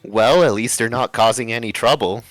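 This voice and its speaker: A man voicing a gentle but disapproving young cartoon mother in a measured, mildly exasperated way. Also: medium pitch, no echo, soft background music, pitched below the performer's own voice, close to an everyday voice, rough recording.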